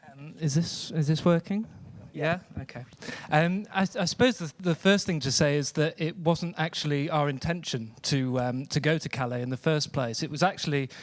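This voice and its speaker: A British man answering as a panel guest on stage, thinking aloud in halting, reflective phrases through a microphone. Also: fairly high pitch. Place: theatre stage, live event.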